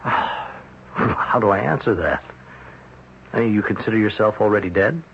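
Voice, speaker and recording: A man acting a patient, gently skeptical psychiatrist in an old-time radio drama, speaking in a hesitant, thoughtful way. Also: fairly low pitch, expressive, vocal fry. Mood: skeptical, curious. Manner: hesitant, thoughtful, with hums and pauses. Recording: muffled, noisy old radio broadcast recording.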